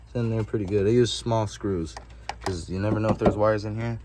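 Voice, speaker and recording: A man's voice: on the low side, fairly even intonation, a little fast. A man presenting a casual hands-on tutorial, rambling and informal with some mild swearing, recorded on a phone camera. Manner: rambling, informal, mildly profane. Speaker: do-it-yourself video presenter. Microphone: phone camera held while working. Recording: clearly noisy ambient phone recording. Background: ambient noise.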